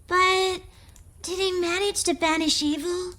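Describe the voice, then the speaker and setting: A very high, small child's voice, eager and full of curiosity. The child asks questions in a video game storytelling scene. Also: female voice, fairly even intonation, unhurried, bright, forceful tone, full character voice. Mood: eager, curious. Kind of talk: video game character acting.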